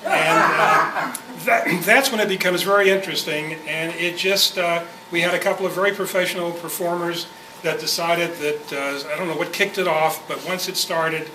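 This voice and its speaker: A man's voice: fairly high pitch, steady tone, moderate pace. A man presenting informally to a club meeting in a rambling, conversational way. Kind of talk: informal spoken introduction to a club. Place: indoor club meeting room. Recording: echoey room, far mic, clear noise.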